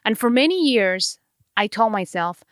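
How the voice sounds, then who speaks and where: A woman's voice: medium pitch, expressive, normal speed, fairly bright tone, dry recording, studio quality. A woman with a Mexican Spanish accent, a native Spanish speaker, hosting a podcast with an earnest, heartfelt motivational monologue. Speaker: podcast host.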